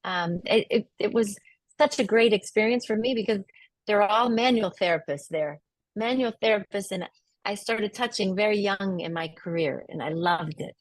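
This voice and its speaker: A woman's voice: medium pitch, normal speed, dry recording. A woman hosting a podcast interview, talking enthusiastically with frequent "um" fillers. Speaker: podcast host.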